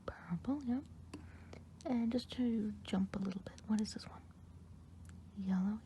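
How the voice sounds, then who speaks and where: A woman whispering softly as a kindly, chatty forest witch healer in an ASMR roleplay, rambling gently and gossiping. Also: mid-range voice, measured pace, slight background noise, lightly acted. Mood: coaxing. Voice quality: soft whispering. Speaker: ASMR artist.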